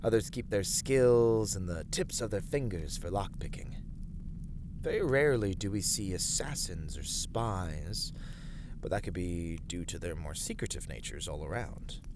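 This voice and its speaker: Low-voiced, earnest fantasy adventurer acted by a man. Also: expressive, measured pace, background noise. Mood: curious, musing. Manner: formal old-fashioned phrasing, thoughtful, hesitant. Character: earnest, courteous young fantasy adventurer from a tavern family. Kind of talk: ASMR fantasy roleplay acting.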